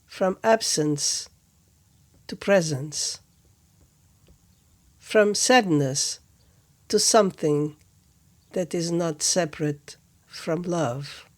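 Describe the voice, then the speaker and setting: Woman talking real slow and gentle, calm spiritual reflection, lots of pauses. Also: fairly low pitch. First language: Portuguese. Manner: gentle, measured, with long pauses between phrases. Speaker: spiritual teacher, podcast host. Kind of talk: reflective contemplative talk.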